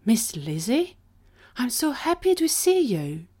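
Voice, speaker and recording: Woman as tiny gentleman scientist, audio drama: courteous, formal, earnest, easily flustered. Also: lowish voice, a little slow. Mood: delighted.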